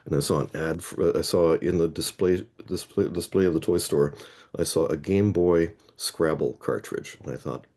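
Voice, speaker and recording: A man's voice: low voice, normal speed, dark tone. A middle-aged man from Toronto, a guest heading a Scrabble players association, telling a long anecdote in a casual interview and rephrasing himself mid-sentence. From Canada (Toronto).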